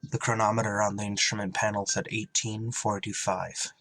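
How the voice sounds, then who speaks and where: A man playing a blunt, solemn spaceship pilot who also narrates a radio drama, deliberate and terse, with buried feeling. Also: fairly low pitch, little pitch movement, fairly bright tone, a little hiss or hum, low-quality recording. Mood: brooding narration. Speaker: actor and first-person narrator.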